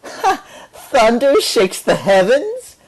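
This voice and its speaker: A man voicing mocking orchestra musicians in a storybook, with exaggerated, drawn-out ridicule. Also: extremely high pitch, wildly swooping pitch, pitched above the performer's own voice, exaggerated voice, poor audio. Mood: mocking, laughing.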